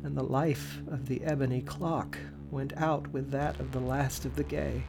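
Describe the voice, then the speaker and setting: Man, podcast host, expressive dramatic narration, slightly high pitch, faint background music. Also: vocal fry. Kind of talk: dramatic reading of a story.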